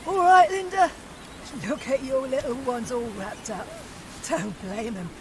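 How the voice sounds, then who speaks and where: Blunt older wife, chatty and jokey, high voice, noisy street behind. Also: female voice, unhurried. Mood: brash, friendly. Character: brash, chatty working-class older wife. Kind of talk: audio drama acting. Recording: noisy outdoor street ambience.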